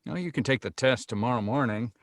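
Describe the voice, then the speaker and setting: A man's voice: medium pitch, quick pace, dry recording, lightly acted. A man playing a seedy, evasive agency boss in his fifties, with a hesitant salesman's manner, at a screenplay table read. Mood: evasive, businesslike.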